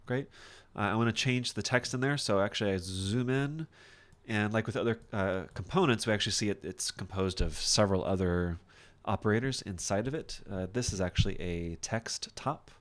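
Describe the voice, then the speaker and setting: A man teaching software in a casual screen-share tutorial, thinking aloud informally as he demonstrates each step. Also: lowish voice, normal speed. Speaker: software instructor.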